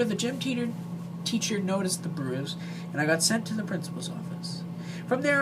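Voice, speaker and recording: A woman reads a poem aloud in a mid-pitched voice. Her delivery is halting, with stumbles over the words. It is a home recording with clear noise and a hum behind her. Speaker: online video reader. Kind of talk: poem recitation read aloud.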